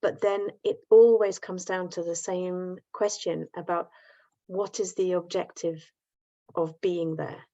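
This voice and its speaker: A woman with a lowish voice thinks aloud on a video call, with frequent restarts and easy laughs. The call audio sounds dull and lo-fi. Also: fairly even intonation, a little slow, mellow. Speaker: workshop participant. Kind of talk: online group discussion.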